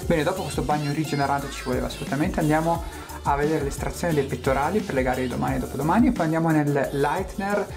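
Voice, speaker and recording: A man's voice: fairly high pitch, quick pace, gravelly, over music. A young man talking to a handheld camera as a travel vlogger, chatty and upbeat, speaking directly to his viewers. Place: mountain outdoors and hotel room. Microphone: handheld camera at arm's length.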